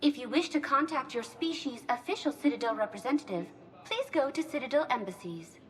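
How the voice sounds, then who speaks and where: A woman's voice: high voice, animated, a little fast, fairly bright tone. A woman playing a courteous synthetic information assistant in a video game, speaking in scripted, official announcements. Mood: courteous, informative.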